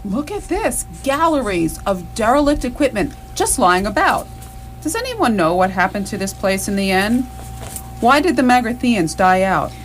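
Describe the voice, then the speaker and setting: A woman's voice: a little high, sing-song, moderate pace, soft background music. A woman playing a sardonic, impatient member of a space crew in live radio theatre.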